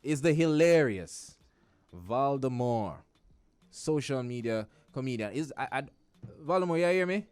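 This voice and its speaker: A man's voice: medium pitch, a little slow, smooth, no room sound. A man with a Jamaican accent hosting a radio talk show from a studio, joking and chatty, full of slang. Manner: lively, joking, animated patter with slang.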